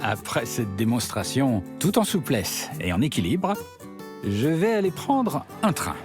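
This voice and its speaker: A man's voice: mid-range voice, big pitch swings, a little slow. A man giving scripted voice-over narration for a travel documentary, with a lightly ironic storytelling manner. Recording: guitar music under voice.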